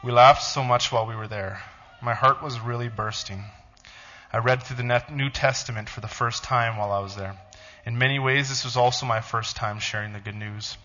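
A man, a pastor, reading a blog aloud to his church in a halting, emotional voice. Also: mid-range voice, fairly even intonation, bright, forceful tone, highs cut off. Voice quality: tearful, halting.

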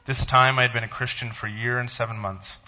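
A man, a pastor, reading a blog aloud to his church in a halting, emotional voice. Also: medium pitch, steady tone, pushed and bright, highs cut off. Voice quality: tearful, halting.

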